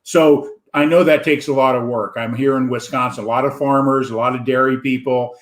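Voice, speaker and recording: A man's voice: mid-range voice, fairly even intonation, a little fast, echoey room. An American man hosting an online interview show, talking in a rambling way with many fillers. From United States. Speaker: host. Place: home in Wisconsin.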